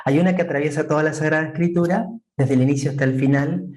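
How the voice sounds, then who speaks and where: A man with an Argentine accent, a priest from Entre Ríos, speaking as a guest in a measured, preaching cadence. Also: on the high side, steady tone, a little mellow. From Argentina, Entre Ríos province. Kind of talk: calm explanatory talk. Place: remote, joining from another country.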